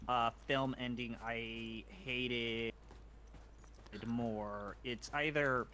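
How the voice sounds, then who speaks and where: A man's voice: mid-range voice, steady tone, extremely slow, bright, forceful tone, a little hiss or hum. A man hosting a casual game stream, rambling and trailing off mid-thought. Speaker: streamer host. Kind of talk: casual gaming stream chat.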